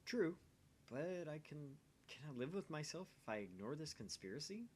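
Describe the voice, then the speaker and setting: A man's voice: fairly high pitch, sing-song, moderate pace, voice pushed up. Brief: grandiose young mad scientist played by a man, visual novel, urgent, faint noise behind. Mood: torn, urgent. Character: intense, theatrical young male self-styled mad scientist.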